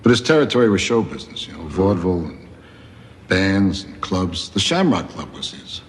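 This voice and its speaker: An actor playing a chatty, easygoing, streetwise convict in a naturalistic television drama. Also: male voice, sits low, big pitch swings, moderate pace, a little hiss or hum. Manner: informal, streetwise.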